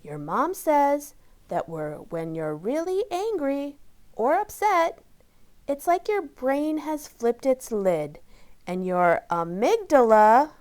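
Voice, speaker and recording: A gentle, scatterbrained motherly helper from a children's storybook played by a woman. She prompts kindly in a smooth, highish voice, taking her time. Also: sing-song, takes it slowly, full character voice. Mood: gently reminding. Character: Miss Pickles, kindly, forgetful female hippocampus who keeps memories.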